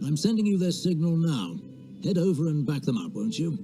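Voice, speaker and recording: An actor playing a courteous, concerned military ally who gives a measured mission briefing in a video game. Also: male voice, fairly high pitch, sing-song. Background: music.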